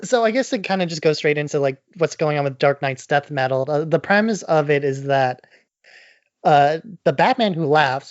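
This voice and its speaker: A man's voice: fairly high pitch, dry recording, dull audio. A man co-hosting a podcast, explaining things in a casual, conversational way. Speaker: podcast co-host.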